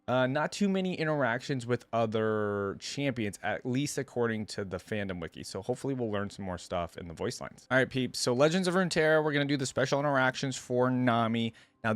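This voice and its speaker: A man's voice: medium pitch, dry recording. A man doing casual reaction commentary, thinking aloud in laid-back, slangy language. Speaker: reaction video creator and commentator.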